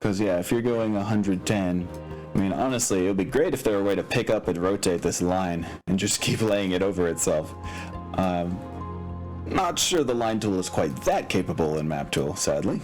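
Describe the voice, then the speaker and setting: A man's voice: low-pitched, animated, moderate pace, darkish tone. A man acting as game master, explaining tabletop mechanics casually and thinking aloud with some hesitation. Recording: music playing behind. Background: background music.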